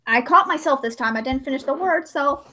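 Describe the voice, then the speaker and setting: A woman's voice: high-pitched, bright, forceful tone. A woman chatting casually on a livestream in a playful, joking way. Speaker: livestream host editing a dramatic reading. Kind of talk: casual chat.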